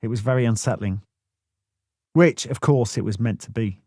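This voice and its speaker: A man's voice: mid-range voice, normal speed, mellow, no room sound, clean studio sound. A man narrating a first-person memoir audiobook in a blunt, plain-spoken way, swearing freely.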